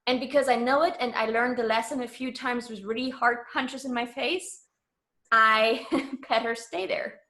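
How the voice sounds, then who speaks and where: Emphatic woman with a high-pitched voice. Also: normal speed, sharp tone. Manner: emphatic, self-assured, informal. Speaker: interview guest, entrepreneur. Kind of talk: casual conversational interview.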